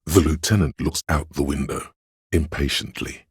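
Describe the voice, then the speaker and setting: A man narrating a game script in a measured, grave, literary manner, with a very deep, gravelly, husky voice. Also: no room sound, clean studio sound.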